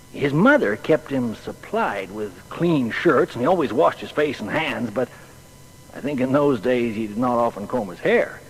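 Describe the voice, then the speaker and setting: A man's voice: medium pitch, a little hiss or hum, close to an everyday voice, low-quality recording. An actor playing a folksy, plain-spoken man who hesitantly recalls his boyhood friend in a dramatised biography. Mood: fond, musing. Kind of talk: dramatised witness reminiscence.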